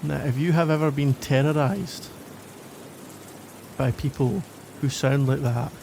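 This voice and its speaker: A man's voice: medium pitch, a little slow, noisy background, natural voice. A man with a Glaswegian accent playing a flirty, grumbly stranger in a conversational ASMR roleplay, wry and self-deprecating. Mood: teasing, wry. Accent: Glaswegian Scottish.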